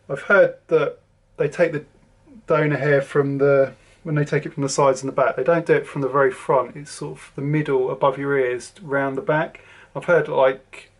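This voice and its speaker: A man in his forties from southern England with a southern English accent, giving a casual, rambling to-camera vlog update with lots of ums and restarts. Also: mid-range voice. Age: 40s. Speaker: vlogger.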